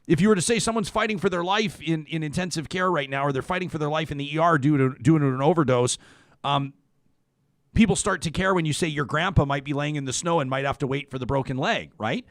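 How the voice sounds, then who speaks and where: A man hosting a conversational interview, speaking haltingly and often repeating words as he rephrases. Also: fairly high pitch, fairly bright tone, no echo. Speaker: talk show host.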